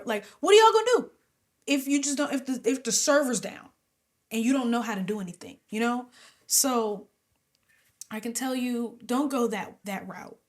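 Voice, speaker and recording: A young adult woman singer, a guest in an interview, talking casually and with animation, laughing often and sometimes dropping to a whisper. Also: mid-range voice.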